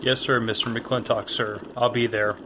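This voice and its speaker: A man's voice: flat delivery, normal speed, close to an everyday voice. A man playing a polite, obedient male gun for hire in an audio drama, answering with clipped deference. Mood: dutiful. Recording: bubbling, rumbling background noise.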